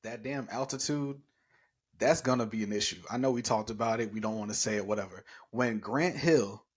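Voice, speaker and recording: A man's voice: fairly low pitch, brisk, breathy edge. A man co-hosting a casual sports talk show, joking and trash-talking about players. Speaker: podcast co-host.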